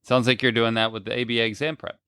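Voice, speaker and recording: A man with a clean, resonant voice of medium pitch talks as a podcast co-host. His delivery is conversational and explanatory, recorded dry with no room sound. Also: flat delivery, fast talker, pushed and bright, smooth, no room sound. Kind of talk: casual conversational interview.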